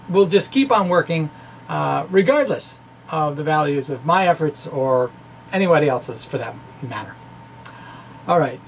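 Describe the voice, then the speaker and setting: A middle-aged man doing a dramatic reading of a science blog, halting and wry, with quirky asides and stammered restarts, in a home basement. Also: high-pitched, a little hiss or hum, low-quality recording. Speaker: amateur physicist presenting a blog.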